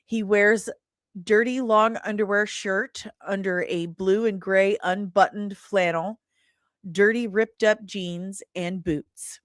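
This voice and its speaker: A woman's voice: medium pitch, steady tone, slow pace, no room sound, clean studio sound. A woman with a playful, theatrical manner, hosting a livestream and reading aloud from her comic script. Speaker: host and comic author.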